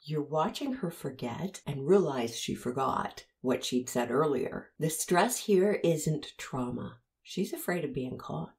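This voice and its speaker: A woman's voice: low-pitched, animated, unhurried, hardly any pauses, darkish tone, a little hiss or hum. A woman hosting a commentary, analyzing an interview and pressing the listener with pointed rhetorical questions. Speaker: host analyzing interview footage.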